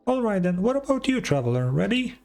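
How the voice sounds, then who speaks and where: A man's voice: high voice, very animated, fairly quick, vocal fry, darkish tone, pitched above the performer's own voice, exaggerated voice. A man voicing a small, chatty, childlike female fairy companion from a video game, exclamatory and inquisitive. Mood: eager. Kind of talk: video game dialogue read aloud.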